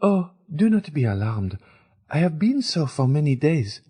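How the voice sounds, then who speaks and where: A man voicing a timid, gentle young woman who speaks hesitantly in a soft falsetto, reading an audiobook. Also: very animated, measured pace, breathy edge, heavily acted.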